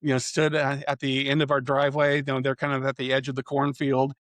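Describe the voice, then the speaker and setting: A man's voice: mid-range voice, monotone, quick pace, a bit bright, no echo. A man in his fifties from central Iowa, a storm chaser and photographer, talking casually as an interview guest, rambling with plenty of "you know" fillers and restarts. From central Iowa, USA. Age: 50s.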